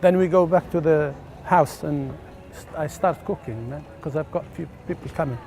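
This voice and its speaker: Middle aged guy from algeria, english not his first language, highish voice, chatting and repeating himself, noise behind. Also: normal speed, a little mellow. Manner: hesitant, repeats words. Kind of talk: casual conversational recollection. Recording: clearly noisy documentary location sound.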